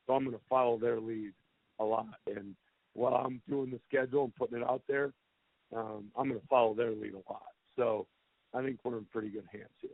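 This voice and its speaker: Plainspoken coach on a tinny phone line. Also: male voice, mid-range voice, fairly even intonation, fairly quick, creaky, dry recording. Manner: plainspoken, candid, self-deprecating. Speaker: head football coach. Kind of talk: answering questions on a press conference call. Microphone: telephone.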